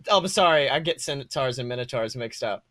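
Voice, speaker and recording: A podcast co-host chatting casually in an animated, sweary way. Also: medium pitch, fast, no echo.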